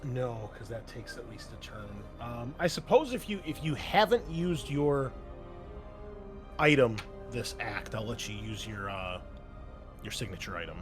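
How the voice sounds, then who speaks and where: A man's voice: mid-range voice, big pitch swings, measured pace. A man running a tabletop roleplaying game as game master, conversationally explaining rules and somewhat hesitantly correcting himself. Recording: background music under the voice.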